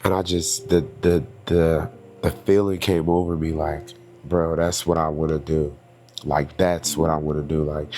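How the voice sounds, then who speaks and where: A man with a deep, low-pitched voice and a stuffy nose talks to his listeners. He rambles in a reflective, casual podcast monologue full of fillers, with faint noise behind him. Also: a little mellow. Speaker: podcast host and singer.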